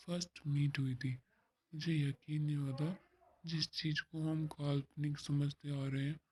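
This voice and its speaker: A performer voicing a gentle young male businessman who speaks slowly and dreamily. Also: medium pitch, breathy edge, pitched below the performer's own voice, poor audio.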